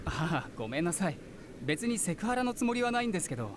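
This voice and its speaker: A young male student neighbour from a visual novel game, casual and teasing. He talks extremely fast with a lively, swooping pitch. Clear noise and ambience sit behind him. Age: young adult.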